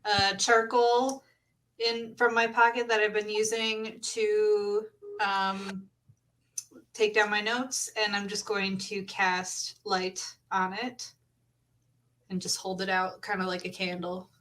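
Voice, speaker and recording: A woman's voice: medium pitch, little pitch movement, slow, fairly bright tone, slight background noise. A woman playing a tabletop role-playing game, chatting casually and hesitating as she works out what her character does. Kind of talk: casual in-game chat, improvised role-play.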